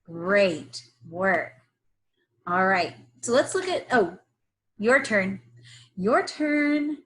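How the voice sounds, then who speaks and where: A woman teacher leading a reading lesson for young children, instructing in an encouraging way with pauses for them to repeat. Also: medium pitch, very animated, fairly bright tone. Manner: instructional, encouraging, pausing for repetition. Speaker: early-grades teacher. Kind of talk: slow read-aloud phonics lesson for young children.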